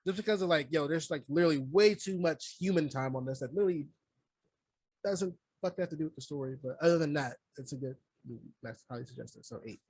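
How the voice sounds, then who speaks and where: Fast-talking, husky man in casual chat. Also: fairly high pitch, darkish tone, dry recording, rough recording. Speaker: podcast co-host reviewing movies. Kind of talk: casual, slangy chat with heavy cursing.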